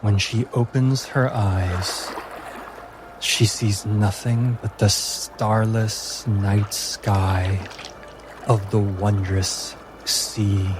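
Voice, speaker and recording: A man narrating a tabletop game as game master in a measured, deliberate, dramatic storytelling manner. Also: fairly low pitch, steady tone, over music, poor audio.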